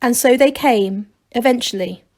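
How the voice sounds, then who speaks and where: A woman's voice: a little high, moderate pace, a little mellow. A woman reading a children's storybook aloud as narrator, like a teacher to a class.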